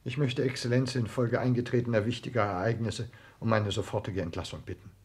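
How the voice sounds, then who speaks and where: Guarded secretary, slightly husky formal voice. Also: male voice, mid-range voice, steady tone, a little mellow. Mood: deferential, formal. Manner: formal, measured, clipped replies. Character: Doctor Krey, ambitious, guarded male secretary in a household. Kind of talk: 1960s German radio play acting.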